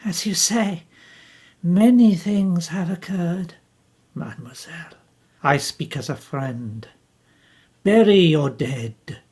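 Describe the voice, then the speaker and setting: A woman reading the part of an older male detective, calm and formal, in a slow, deliberate husky voice. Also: fairly low pitch. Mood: grave.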